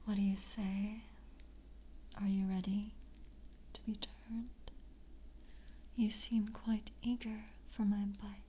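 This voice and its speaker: A woman playing a composed, seductive vampire coven member in an ASMR roleplay, speaking in a soft, breathy whisper with slow, formal, intimate delivery. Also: mid-range voice, level tone, a little hiss or hum, close to an everyday voice. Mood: hungry, seductive.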